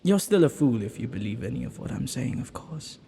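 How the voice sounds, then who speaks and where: A man's voice: medium pitch, big pitch swings, fairly quick, vocal fry, a little hiss or hum. A man playing a flirty, ancient banished villain in an intimate audio roleplay, lonely and teasing, with hesitant, murmuring delivery. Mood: playful, flirty. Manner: flirtatious, hesitant, breaking into murmurs and soft chuckles.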